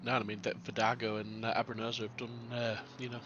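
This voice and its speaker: A man's voice: mid-range voice, level tone, quick pace, muffled recording, noisy background. A middle-aged man co-commentating on a sim racing stream, rambling with many "um"s.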